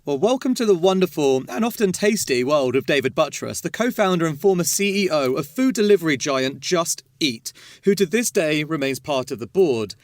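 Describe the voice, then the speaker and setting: A man hosting a podcast, reading a polished intro and then asking playful, teasing quick-fire questions. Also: fairly high pitch, lively intonation, no echo. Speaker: podcast host. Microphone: studio mic.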